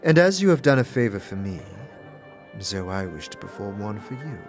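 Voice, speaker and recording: A man's voice: on the low side, sing-song, moderate pace, over music. A man doing a silky, flirtatious sorceress. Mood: teasing. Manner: silky, teasing, formal old-fashioned speech. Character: elegant, flirtatious female sorceress. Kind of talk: fan audio drama acting.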